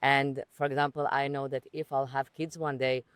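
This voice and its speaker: A woman's voice: extremely low pitch, flat delivery, normal speed, smooth. A woman from Ukraine speaks as a guest on a podcast, reflective and earnest about her own life. She sometimes stops and restarts a phrase mid-thought. The recording is dry, with the mic close and no noticeable room echo. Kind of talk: personal storytelling in conversation.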